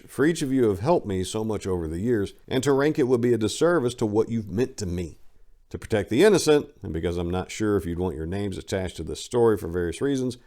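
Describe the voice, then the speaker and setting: A man with a fairly low voice reads from a memoir. He treats it as a dramatic reading, delivering the text in an emphatic, declamatory way. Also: dark tone. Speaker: reader of a memoir.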